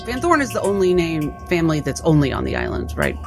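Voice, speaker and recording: A woman's voice: low voice, wildly swooping pitch, quick pace, over music. A woman talking casually as a player in a tabletop roleplaying game.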